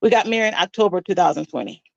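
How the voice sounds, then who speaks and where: A woman's voice: lowish voice, fast, gravelly, dry recording. Woman in her forties, dull lo-fi audio. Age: 40s. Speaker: interviewee. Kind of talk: telling her story in an interview. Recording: dull, dry lo-fi clip audio.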